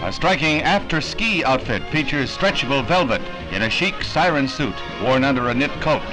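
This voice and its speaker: A man's voice: medium pitch, animated, moderate pace, poor audio. An American man narrating a 1960s newsreel from a script, with a polished, declamatory announcer's delivery. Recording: vintage 1960 newsreel soundtrack, music behind.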